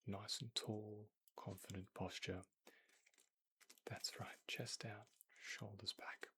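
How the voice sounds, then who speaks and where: A man playing a courteous, attentive boutique tailor in an ASMR roleplay, murmuring and whispering gently, politely and without hurry. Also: on the low side, flat delivery, slow pace. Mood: gentle, attentive. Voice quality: breathy, whispery. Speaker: ASMR performer.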